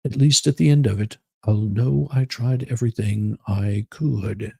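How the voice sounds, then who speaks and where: A man playing a stiff, earnest, non-committal man in a dramatic reading, husky and low-toned, with a measured, solemn delivery. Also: mellow, dry recording, exaggerated voice. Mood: apologetic, firm.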